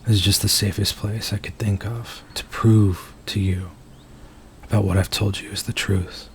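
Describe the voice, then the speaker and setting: Guy doing a soft-spoken nervous male werewolf for asmr, hesitant and earnest, pretty even tone, bit of background noise. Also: fairly low pitch, normal speed, natural voice. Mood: apologetic, earnest. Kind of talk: ASMR roleplay, intimate dramatic monologue.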